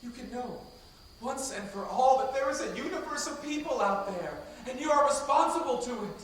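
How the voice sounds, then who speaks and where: A man's voice: extremely high pitch, animated, moderate pace, a bit bright. A man playing an idealistic, accusing, morally outraged grown son in a live stage drama, heard from afar in an echoey theatre. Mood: impassioned, accusing. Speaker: stage actor. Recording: echoey, distant theatre recording, faint noise.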